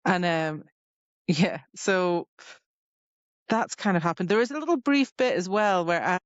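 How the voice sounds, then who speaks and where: A woman's voice: fairly low pitch, measured pace, no echo, muffled recording. A woman co-hosting a podcast in casual book chat, informal and exclamatory, often quoting reactions. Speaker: podcast co-host.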